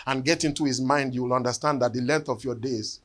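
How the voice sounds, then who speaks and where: A man, preaching a sermon and telling a personal testimony in an earnest storytelling way that often sinks to hushed tones. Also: mid-range voice, steady tone, fairly quick. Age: 50s. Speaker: bishop.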